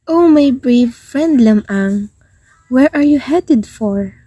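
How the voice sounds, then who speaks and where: A woman's voice: high voice, animated, slow pace, dark tone, no room sound, heavily acted. A woman playing a challenging male spear-wielding warrior in a folk epic readers' theater. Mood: probing.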